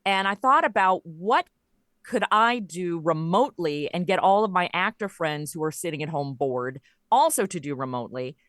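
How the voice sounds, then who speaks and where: A middle-aged woman with a slightly low voice talks casually as an interview guest. She is wry and jokey, and the sound is close and dry, free of room echo. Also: expressive, moderate pace, a bit bright. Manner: wry, joking. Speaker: podcast guest, audio comedy creator.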